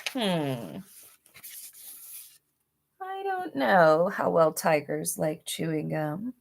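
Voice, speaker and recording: A woman with a slightly low voice reads to children as a storybook host. Her phrasing is deliberate and dramatic, and she keeps an informal, read-aloud style. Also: sing-song, gravelly.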